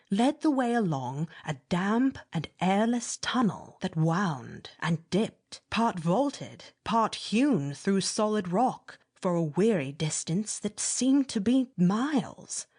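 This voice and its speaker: A woman's voice: medium pitch, a little mellow, breathy edge. A woman reading scripted narration for a classic fiction audiobook.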